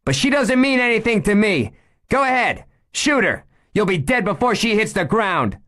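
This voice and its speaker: A man's voice: high voice, big pitch swings, normal speed, fairly bright tone, voice pushed up. A man voicing a tough, fearless woman deputy who speaks in hard, clipped threats. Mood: menacing.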